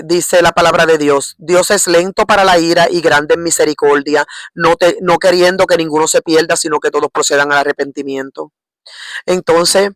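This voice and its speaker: Low-voiced Puerto Rican woman preaching informally. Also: no echo. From Puerto Rico. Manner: halting, repetitive, seeks agreement with short tag questions. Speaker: lay preacher. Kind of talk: informal religious exhortation.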